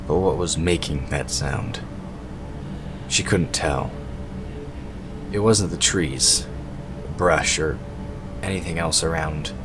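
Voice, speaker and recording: A man's voice: extremely low pitch, slow, long pauses, over music. A man reading scripted narration for a fantasy audio drama. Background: forest ambience sound effects.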